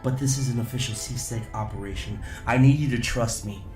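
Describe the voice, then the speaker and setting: A man plays a protective security officer in an audio drama. His voice is medium in pitch, with an earnest, decisive delivery. The room echoes and music plays clearly behind him. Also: a little fast, close to an everyday voice. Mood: firm, caring. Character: male security officer, determined, firm, protective leader.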